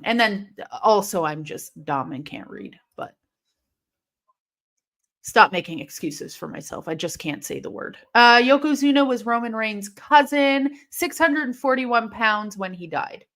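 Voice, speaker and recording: A woman from Illinois hosting a live wrestling watch-along, chatting casually and rambling, with intense, sharp S sounds left from a lisp. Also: medium pitch, lively intonation, a little slow. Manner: chatty and rambling, heavy use of "like". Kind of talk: casual live-stream chat reading comments.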